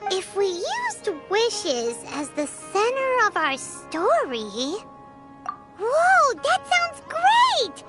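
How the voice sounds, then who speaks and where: Game sidekick: tiny childlike fairy, extremely high squeaky voice, very animated swoops, music underneath. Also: female voice, very slow, exaggerated voice. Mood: excited. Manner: talks about herself in third person, exclamatory. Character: tiny excitable childlike fairy girl companion. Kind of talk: animated video game voice acting.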